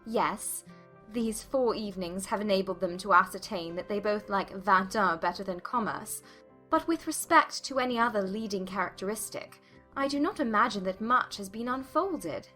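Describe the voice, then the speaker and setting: A woman playing a lively, principled young gentlewoman in an audiobook drama, quick to object in earnest, formal period diction. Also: mid-range voice, soft background music. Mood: wry.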